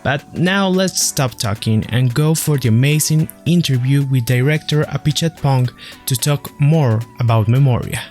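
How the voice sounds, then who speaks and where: A man's voice: medium pitch, moderate pace, a little mellow, soft background music. A man presenting an introduction as a podcast host. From Colombia.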